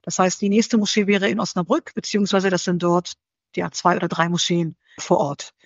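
A woman answering interview questions thoughtfully, in a measured way that often pauses to search for the right word. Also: lowish voice, steady tone, no echo. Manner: measured, searching for words, frequent fillers. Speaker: interview guest. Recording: slightly dull dry recording.

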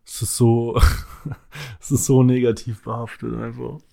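A man's voice: medium pitch, flat delivery, unhurried, gravelly, dark tone. A man co-hosting a casual chat, joking and teasing himself. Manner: joking, self-mocking. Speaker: podcast co-host.

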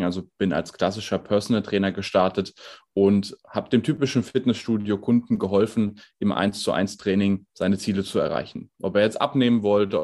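Low-voiced young coach. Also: male voice. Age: 20s. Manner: relaxed, explanatory, with many fillers. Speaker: strength and conditioning coach. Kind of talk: podcast interview.

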